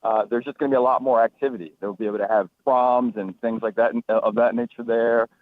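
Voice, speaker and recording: Fast-talking man on a phone-like line. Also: mid-range voice, steady tone, no echo. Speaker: racetrack authority executive, interview guest. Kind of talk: radio interview answers. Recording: thin, phone-like radio line.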